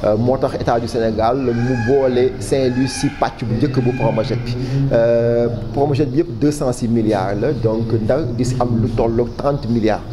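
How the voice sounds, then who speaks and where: Senegalese man speaking haltingly over noise. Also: mid-range voice, fairly even intonation. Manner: halting, with frequent hesitations and repeated words. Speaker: official spokesman for a public waste management project. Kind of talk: formal interview answers. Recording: clearly noisy background ambience.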